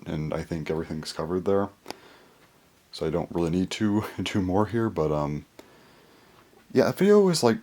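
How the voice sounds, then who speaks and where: Young male YouTuber, hoarse and very deep-voiced, rambling casual vlog chat. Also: a little mellow. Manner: rambling, full of fillers and restarts. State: hoarse, voice mostly gone.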